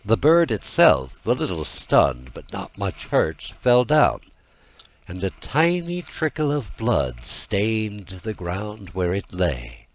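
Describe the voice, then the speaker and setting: A man narrating a scripted fairy tale as an audiobook, in a dramatic and deliberate storytelling manner. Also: on the low side, huge pitch swings.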